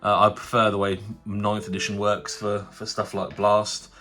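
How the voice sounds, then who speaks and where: A man's voice: lowish voice, monotone, normal speed, pushed and bright, faint music behind. A man with a cold and a nasal voice, hosting a casual tabletop wargaming unboxing. He rambles chattily, full of fillers and restarts. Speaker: tabletop wargaming channel host.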